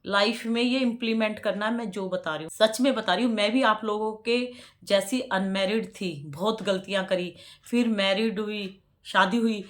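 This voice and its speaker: A woman in her forties talks straight to her subscribers in a loose, chatty way. She leans into repeated words to drive her point home and slips into a preachy tone. Her voice sits at a medium pitch. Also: steady tone, normal speed. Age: 40s. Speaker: vlogger. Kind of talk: casual commentary to subscribers.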